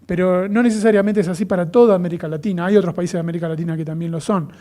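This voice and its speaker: A man from Argentina with an Argentine accent, giving a thoughtful academic lecture on education policy, pausing often with fillers and restarting phrases. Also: high-pitched, fairly even intonation, dark tone. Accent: Argentine Spanish.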